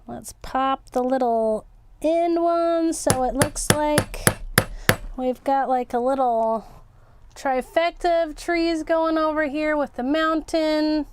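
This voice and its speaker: High-voiced woman chatting through a craft livestream. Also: slow, background noise. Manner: chatty, self-narrating, repeats words. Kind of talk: casual live craft chat, thinking aloud.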